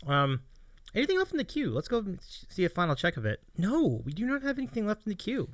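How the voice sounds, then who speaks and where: A man's voice: high voice, wildly swooping pitch, quick pace, no room sound, clean studio sound. A man hosting a livestream interview show, chatting casually in an appreciative, encouraging way. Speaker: host of a streaming interview show.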